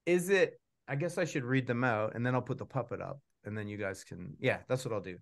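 Man, middle-aged, medium pitch, casual host, video call. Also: brisk, vocal fry. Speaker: host, puppeteer and author. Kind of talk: casual online event hosting. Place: home room on a video call.

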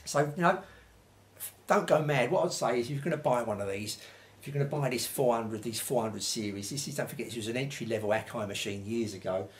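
A man's voice: medium pitch, fairly quick. A man, chatting casually and ramblingly to camera in an unscripted review, peppering his talk with little tags. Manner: chatty and rambling, frequent "you know" and "like" tags. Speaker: vintage audio reviewer. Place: small domestic room indoors, seated at a table. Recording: clear background noise, room ambience.

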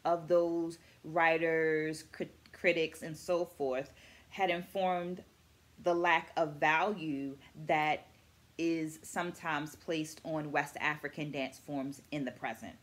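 A middle-aged woman speaking deliberately and articulately to viewers as an educator in a reflective book vlog, stressing key words. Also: low-pitched, fairly even intonation. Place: small furnished room indoors.

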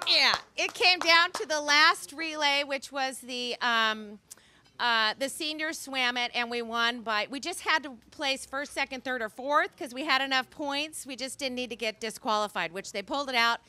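Middle-aged woman, bright high voice, bubbly and loud, handheld mic at a club dinner. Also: normal speed. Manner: bubbly, cheering and whooping. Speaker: club member speaking at a dinner meeting. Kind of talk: casual speech to a club audience. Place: outdoor restaurant patio at night.

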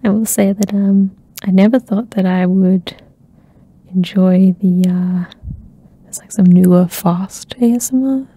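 A young woman hosting an ASMR channel, chatting softly and rambling with lots of fillers, often dropping into a whisper close to the mic. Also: mid-range voice, fairly even intonation, a little slow, breathy edge, dry recording. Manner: soft-spoken, slips into whispers, many fillers. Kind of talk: soft-spoken rambling catch-up chat. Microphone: close ASMR microphone.

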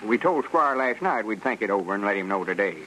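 Self-important country storekeeper. Southern drawl, folksy and hesitant, vintage radio serial with background noise. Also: male voice, fairly low pitch, animated, fast talker, a bit bright. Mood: musing. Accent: rural Southern US. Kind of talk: 1930s radio comedy serial acting. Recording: noisy old 1930s radio recording.

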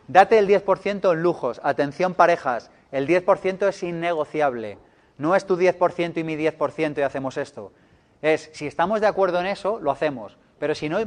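Spanish man, slightly higher voice, lively seminar speaker, teasing the room. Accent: Spanish from Spain. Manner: playful, joking, chatty. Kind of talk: live seminar talk to an audience.

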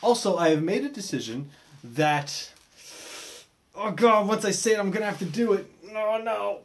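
A man in his twenties with an American accent giving a casual vlog update in a hesitant, self-deprecating, animated way, recorded in a small bedroom. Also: a little high. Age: 20s. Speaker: video creator.

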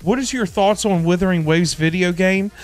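High-pitched middle-aged man livestreaming. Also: moderate pace. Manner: animated, emphatic, repeats himself for emphasis. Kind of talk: casual livestream chat with viewers. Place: indoor home streaming setup. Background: music.

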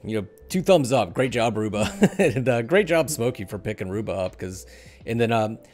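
A man's voice: lowish voice, animated, moderate pace, a little mellow. A middle-aged man hosting a gaming podcast in an informal, conversational way, talking into a headset indoors. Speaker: show host. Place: indoor room at a desk.

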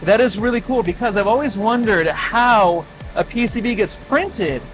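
A man in his thirties hosting a factory tour, talking casually and excitedly with a clip-on mic on a large factory floor. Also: sits high, normal speed, music in the background, rough recording. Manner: excited, repeats "really cool". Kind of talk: casual enthusiastic tour chat.

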